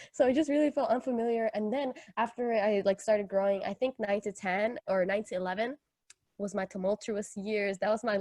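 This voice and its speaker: A young woman co-hosting a podcast, originally from Kenya. She tells personal stories in informal, slangy language with a joking manner, at a middle pitch. The sound is dry and close, with no room echo. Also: darkish tone. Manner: informal slangy talk, jokey. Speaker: podcast co-host. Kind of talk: casual chat, personal storytelling.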